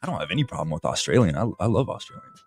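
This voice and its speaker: A man co-hosting a casual livestream chat, relaxed and agreeable. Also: deep voice, rapid-fire, soft background music. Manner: easygoing, brief agreeing replies. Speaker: livestream co-host.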